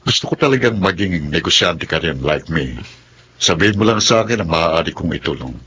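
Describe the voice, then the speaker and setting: A man's voice: low voice, expressive, a little fast, sharp tone, a little hiss or hum. A man acting a supportive middle-aged businessman father with a Filipino accent in a radio drama. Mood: pleased, fatherly.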